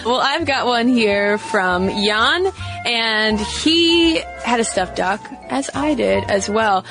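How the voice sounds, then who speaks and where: A woman's voice: a little high, expressive, slow pace, music in the background. A woman co-hosting a podcast in casual chat, thoughtful and hedging with fillers as she talks. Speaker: podcast co-host.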